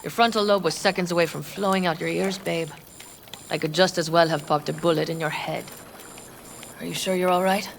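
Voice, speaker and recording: An actress playing a caring, steady nomad ally in a video game. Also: female voice, on the low side, brisk, vocal fry, a little hiss or hum. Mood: urgent, soothing.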